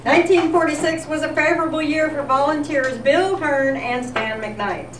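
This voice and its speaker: A high-pitched woman presents a scripted tribute in the manner of a radio newscast. She reads deliberately and with light comedy. Her voice comes from well away from the microphone in a very echoey room. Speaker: event presenter. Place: live event in a senior center room. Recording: echoey, noisy room recorded from far off.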